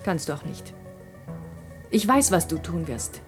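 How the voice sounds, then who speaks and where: An actress in a German radio drama playing a resigned, cryptic wife who has seen the future. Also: female voice, sits low, very animated, a little slow, over music, full character voice. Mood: bitter.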